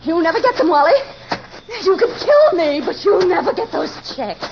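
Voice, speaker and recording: A woman's voice: extremely high pitch, big pitch swings, normal speed, gravelly, full character voice. An actress playing a possessive, scheming rich woman in a haughty, cutting 1940s radio drama style. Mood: pained defiance. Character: possessive, scheming rich woman, coolly taunting her fiance. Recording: dull, hissy old radio recording.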